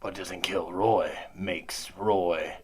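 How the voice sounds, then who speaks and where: A man's voice: low-pitched, fairly even intonation, drawn-out words, darkish tone, slightly rough, exaggerated voice. A man playing a dim, reckless, self-satisfied oaf with blunt, simple speech in a comic radio play.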